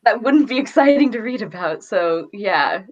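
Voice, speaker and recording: A woman's voice: medium pitch, moderate pace. A woman co-hosting a casual book discussion, acting out quoted asides and often saying "like", on a dull recording. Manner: animated retelling with quoted asides, frequent "like". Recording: dull lo-fi audio.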